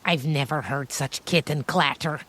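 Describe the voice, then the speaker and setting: A woman's voice: sits low, unhurried, fairly bright tone. A woman voicing a salty, impatient, sarcastic male ghost cat in an audio storybook, with scathing, muttered delivery. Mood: grumbling.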